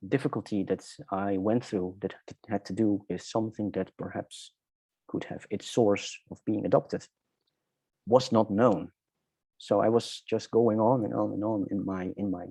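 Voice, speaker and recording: A middle-aged man with a Dutch accent talks reflectively about his own life. His voice is low, dark and husky, and he often gropes for the right word. The sound is close and dry. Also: no room sound. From Netherlands. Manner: halting, searching for words. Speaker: interview guest. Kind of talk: reflective personal storytelling in conversation.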